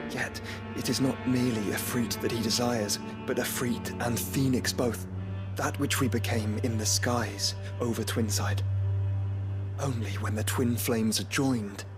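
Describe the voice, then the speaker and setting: An actor playing a solemn, resolute nobleman in a video game, earnest and deliberate with formal phrasing. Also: fairly low pitch. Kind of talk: dramatic video game acting.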